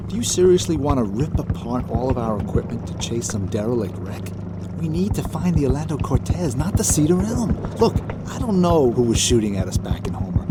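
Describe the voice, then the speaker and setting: An actor playing a hot-headed, skeptical salvage skipper, blunt and sarcastic, in an audio drama. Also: male voice, mid-range voice, heavy background noise, close to an everyday voice.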